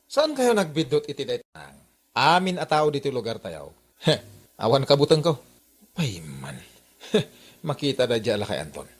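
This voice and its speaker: A man's voice: medium pitch, wildly swooping pitch, normal speed, long pauses, husky. A man with an Ilocano accent playing a rude, hot-headed, boastful son in a comedy radio drama. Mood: indignant, boastful.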